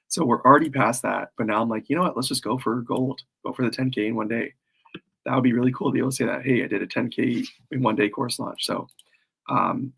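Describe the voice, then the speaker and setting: A man with a cold and a worn, hoarse voice, rambling casually through a live stream as he launches his course. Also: mid-range voice, a bit bright, poor audio. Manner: rambling, self-deprecating, frequent fillers.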